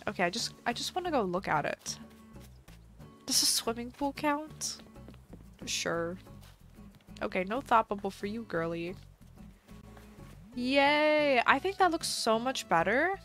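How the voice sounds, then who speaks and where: A woman's voice: a little high, sing-song, measured pace, pauses often, a bit bright. A woman live streaming a game in casual chat, chatty and giggly, her voice slightly stuffy from a cold. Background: faint background music.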